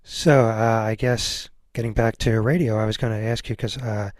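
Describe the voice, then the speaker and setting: A man's voice: on the low side, steady tone, moderate pace, a little mellow, no echo. A man hosting a podcast in a casual, conversational interview style. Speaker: podcast host.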